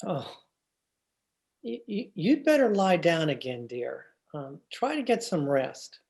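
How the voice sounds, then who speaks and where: An earnest, flustered husband played by a man in an old-time radio comedy. He speaks slowly and hesitantly, with big swoops of pitch when exasperated. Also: big pitch swings, creaky, darkish tone, exaggerated voice. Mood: worried.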